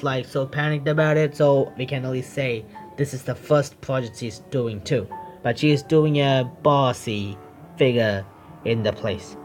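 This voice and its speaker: A male streamer with reads a visual novel aloud at a medium pitch and adds his own commentary. He is halting, often restarting phrases, and faint music sits behind him. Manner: halting reading with stumbles and self-corrections.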